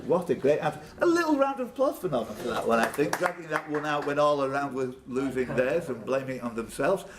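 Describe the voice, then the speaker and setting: A man's voice: mid-range voice, sing-song, quick pace. A man hosting a live pub quiz, reading out questions and bantering with players by name. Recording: clear background noise, live room. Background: room ambience and noise.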